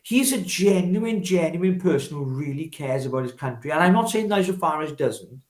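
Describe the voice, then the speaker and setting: Animated, higher-pitched Welsh man. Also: moderate pace, roomy sound. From Wales. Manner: emphatic, oratorical, with repetition and rhetorical questions. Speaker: political activist guest, former parliamentary candidate. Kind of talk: spontaneous political interview talk.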